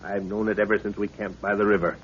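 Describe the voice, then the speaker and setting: An actor playing an authoritative middle-aged professor and adventurer in a 1930s radio drama, measured and commanding. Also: male voice, fairly low pitch, level tone, slightly rough. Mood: grave, explaining. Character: steady, authoritative male professor and adventurer, a father. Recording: muffled, hissy 1930s radio recording.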